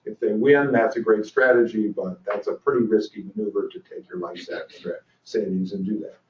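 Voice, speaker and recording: A man's voice: on the low side, steady tone, normal speed. An older man giving a lecture at a training session, talking with dry humor and lots of ums and restarts. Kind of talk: conference lecture. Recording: roomy, distant, dull lecture recording.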